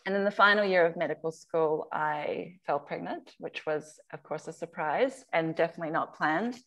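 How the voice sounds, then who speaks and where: A woman, a surgeon giving an informal slide talk over a video call in conversational, halting phrases. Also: deep voice, a bit bright. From Samoa. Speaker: surgeon, guest speaker. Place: video call, remote webinar.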